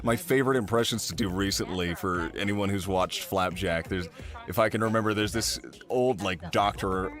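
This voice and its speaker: A young American man chatting casually in an interview as a voice actor, telling stories in an animated, amused way. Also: low-pitched, normal speed, faint music behind.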